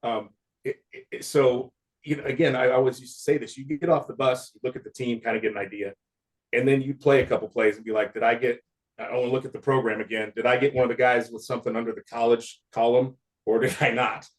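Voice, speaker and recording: Man in his 50s, ex-player reminiscing, rambling delivery with frequent laughs, medium pitch. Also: steady tone, fast, low-quality recording. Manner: rambling, laughs often, trails off. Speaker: podcast host, former football player. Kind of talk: casual reminiscing chat.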